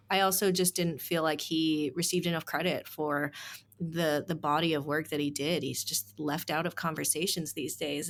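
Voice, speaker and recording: A woman's voice: low voice, slight background noise. A young adult woman author being interviewed, speaking earnestly and with animation, often saying "like" in casual chat. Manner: earnest, animated, heavy use of "like". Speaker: author and staff writer, guest.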